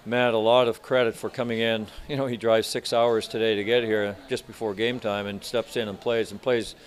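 An older man in his sixties, a hockey coach answering reporters after a game, speaking in a measured, halting way with many restarts. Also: lowish voice, little pitch movement. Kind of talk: postgame press interview. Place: arena media room in front of sponsor backdrop.

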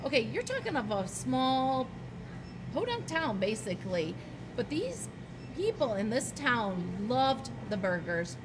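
A woman's voice: fairly high pitch, sing-song, a little slow, noisy background. A middle-aged woman guest telling stories with emphasis in a casual chat at a farm shop table. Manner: storytelling, emphatic, laughs. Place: farm market shop, indoors.

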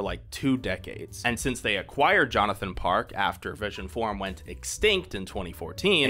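Animated man narrating over soft music. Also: medium pitch. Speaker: video essay host. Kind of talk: casual video essay narration, conversational commentary.

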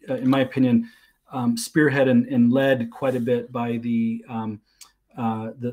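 A man's voice: mid-range voice, a little mellow. A middle-aged man giving explanatory technical talk as a guest expert on a livestream video call, thinking aloud and qualifying his points as he goes. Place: small attic room at home.

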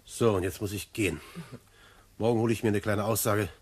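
An actor playing a trusting police inspector in a radio crime drama, soothing yet briskly authoritative. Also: male voice, lowish voice, fairly even intonation, husky. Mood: kindly reassuring.

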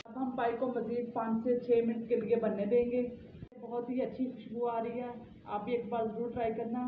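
A woman hosting a home cooking channel, calmly talking through each step of a recipe in a home kitchen. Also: on the high side, moderate pace. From Pakistan. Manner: calm, plain instructional delivery. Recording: roomy, muffled, with background noise.

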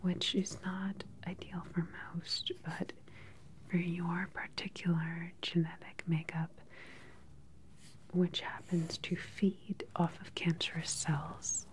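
A woman in her thirties whispering gently and methodically in an ASMR roleplay as a calm research doctor questioning a patient, close to the microphone. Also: on the low side, slow pace. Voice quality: breathy, unvoiced whisper.

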